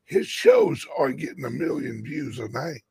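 Raspy man with a gravelly, lively delivery, slow and rambling, casual stream co-host. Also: medium pitch. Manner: slow, rambling, drawn-out talk. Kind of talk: casual livestream chat.

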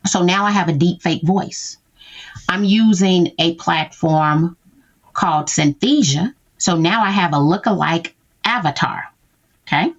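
A woman's voice: fairly low pitch, a little slow, muffled recording. A woman guest talking through how she uses tools in her business, checking in often with "right?". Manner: explains with frequent "right?" check-ins. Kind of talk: conversational interview.

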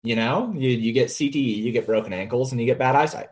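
A man's voice: mid-range voice, quick pace, a little mellow. A man in his late twenties co-hosting a casual livestream chat, laid-back and joking, with lots of fillers. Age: 20s. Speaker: co-host.

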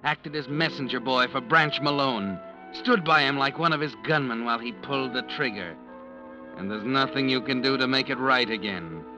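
An actor playing a knowing, taunting narrator in an old-time radio mystery, insinuating and ominous, on a dull vintage recording. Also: male voice, medium pitch, moderate pace, over music, natural voice. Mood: accusing. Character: knowing, taunting male narrator addressing the guilty man.

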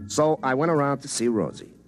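Excitable small-town repairman, swooping pitch. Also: a little fast, creaky. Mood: wry, rueful. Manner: folksy, wry narration turning to flustered, stammering pleading. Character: excitable small-town phone repairman telling his own story. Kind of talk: classic radio drama acting with first-person narration. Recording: vintage radio recording, faint music.

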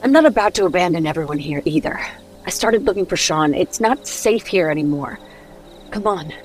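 A woman's voice: fairly low pitch, lively intonation, fairly quick, a little hiss or hum, lightly acted, poor audio. An actress playing a protective, resolute mother in an audio drama, speaking firmly and earnestly. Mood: weary resolve.

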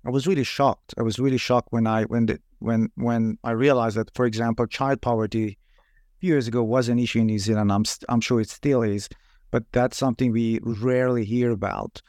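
Man from iran, interviewer, halting, repeats words, mid pitch, dry sound. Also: steady tone, darkish tone, no echo. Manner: halting, repeats words while searching for phrasing. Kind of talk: academic interview questions.

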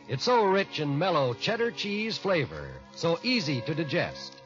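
Male American commercial announcer, 1940s radio. Lively intonation, polished sales delivery, muffled vintage sound. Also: a little high.

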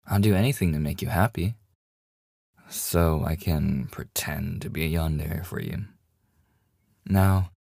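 A man's voice: sits low, vocal fry, darkish tone, husky, no echo, clean studio sound, exaggerated voice. A man playing a devoted, slightly obsessive boyfriend in an intimate ASMR audio roleplay, with a hesitant, stammering manner. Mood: accommodating. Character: devoted, nervously joking boyfriend hiding obsessive yandere streak. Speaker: voice actor.

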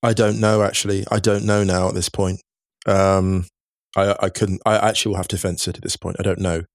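A man's voice: low voice, flat delivery, a little fast, no room sound, studio quality. A man chatting about football as a pundit, enthusiastic and prone to repeating words as he goes. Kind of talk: casual football chat.

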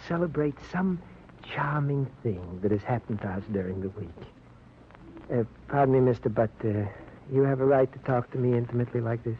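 A man's voice: medium pitch, a little fast, natural voice. Man playing fussy chatty little New shopkeeper. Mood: chatty, flustered. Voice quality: hesitant, wordy, mock-formal phrasing. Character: chatty, fussy, good-natured little male candy store owner. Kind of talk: 1940s-50s radio drama acting. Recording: muffled, noisy old radio recording.

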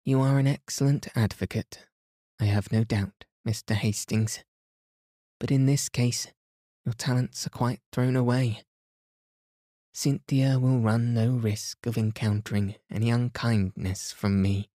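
Storybook heroine: a composed, quietly authoritative young lady with a dark, mellow tone, voiced by a male narrator. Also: mid-range voice, fairly even intonation, unhurried, no echo, clean studio sound, lightly acted. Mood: firm. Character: poised reserved young Englishwoman, grave.

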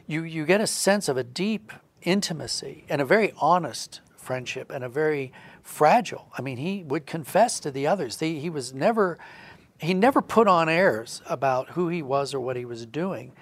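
A man's voice: a little high, lively intonation, normal speed. A man giving earnest, reflective explanations as a guest in a conversational interview. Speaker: guest, audio drama writer.

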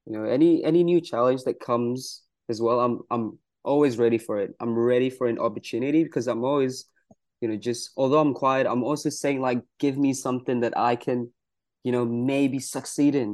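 A man's voice: medium pitch, fairly even intonation, moderate pace, a little mellow. A young man from Malaysia, a pro wrestler, answering interview questions in an earnest, proud way, with many "you know" fillers.

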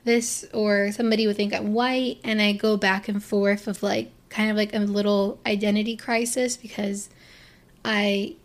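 A woman's voice: mid-range voice, level tone, normal speed. A young woman from Florida talking casually to camera, rambling with lots of fillers and restarts as she opens up. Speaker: vlogger. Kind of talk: casual personal talk to camera.

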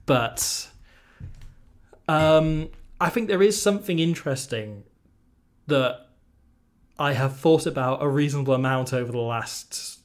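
A man's voice: a little high, measured pace, long pauses. Welshman with dry gallows humour. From Wales. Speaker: podcast guest. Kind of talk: casual rugby podcast chat.

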